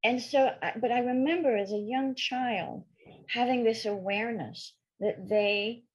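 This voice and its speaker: Middle-aged female therapist from France, bilingual, husky, frank storyteller. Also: a little high, a little slow, poor audio. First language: French and English, bilingual. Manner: frank, candid, matter-of-fact with hesitations. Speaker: sex therapist guest. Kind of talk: storytelling interview answers.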